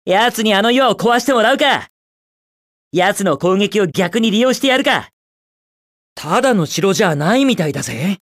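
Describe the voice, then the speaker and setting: A man's voice: sits high, animated, moderate pace, a bit bright, no echo, clean studio sound. A man voicing a cocky, carefree young hedgehog speedster hero in a video game, with a cool, brash, quippy delivery. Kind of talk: Japanese video game character voice lines.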